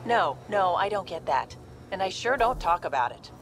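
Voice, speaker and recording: A savvy alien squadmate in a video game, talks in a fairly low voice. She is dry and down-to-earth, heard over clear ambient and vehicle noise. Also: sing-song, moderate pace. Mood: dry, casual. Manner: casual, dry. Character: street-smart, pragmatic female alien squadmate.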